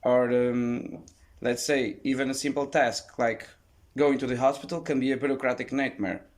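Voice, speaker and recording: A man's voice: mid-range voice, steady tone, moderate pace. Guy hosting a chatty podcast, friendly, a bit hesitant. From Portugal. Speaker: podcast host. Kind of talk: casual chat.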